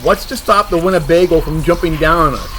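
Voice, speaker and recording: Man acting a cocky, high-pitched monster hunter. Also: fairly quick, fairly bright tone, a little hiss or hum, natural voice. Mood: stubborn. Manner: sarcastic, stubborn.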